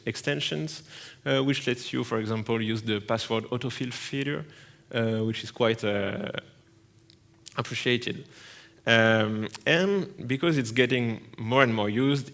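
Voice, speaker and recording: A man with a French accent giving a technical talk at a conference, halting often with fillers and restarts. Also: medium pitch, gravelly, a bit bright. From France. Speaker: conference speaker. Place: conference hall.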